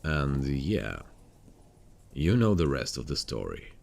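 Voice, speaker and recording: A man playing a weary, haunted detective in an intimate ASMR roleplay, speaking to a partner softly and without hurry. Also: rumbling low, wildly swooping pitch, slow pace, gravelly, exaggerated voice. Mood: somber, reflective. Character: weary, haunted male detective hunting a serial killer.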